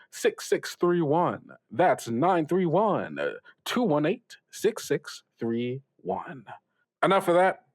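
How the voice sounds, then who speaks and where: Casting: man as bubbly teen boy DJ for an audio drama, mid-pitched, jokey, rambling hype. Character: teenage boy radio host, bubbly, goofy, showy. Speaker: radio show host. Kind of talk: improvised radio show banter.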